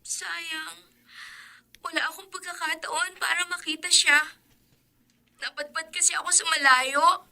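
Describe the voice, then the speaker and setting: An actress playing a wistful old friend from the home village in a radio drama. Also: female voice, extremely high pitch, steady tone, a little slow, gravelly, long pauses, sharp tone, exaggerated voice.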